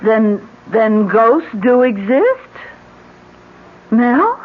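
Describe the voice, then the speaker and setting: Worried american wife in old radio play, pleading, very lively pitch, thin phone-like sound. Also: female voice, very slow, full character voice. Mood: shocked. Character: anxious, pleading wife urging her husband to leave. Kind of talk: old-time American radio drama acting.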